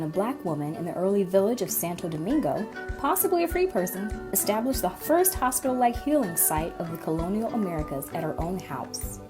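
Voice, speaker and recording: A woman narrating a scripted clip with a lively, rising and falling pitch. She reads in an even, prepared way. Loud music plays under her voice.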